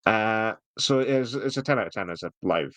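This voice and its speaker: Casual podcast co-host, mid-pitched, jokey banter, dry recording. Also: lively intonation, brisk, a bit bright, no echo. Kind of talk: casual chat review.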